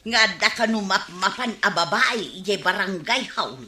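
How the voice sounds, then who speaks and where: A teasing village gossip who relishes scandal in a comedy radio drama, with an Ilocano accent. Her very sharp voice is high and swoops through slow insinuations, in a roomy sound with music behind. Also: expressive, slight background noise. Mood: insinuating.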